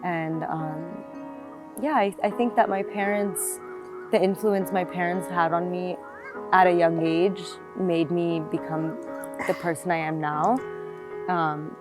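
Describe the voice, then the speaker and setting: A woman's voice: lowish voice, steady tone, slow. A woman in her twenties from South Florida, reflectively telling her life story in an interview, with many fillers and restarts. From South Florida, United States. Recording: music playing behind the voice.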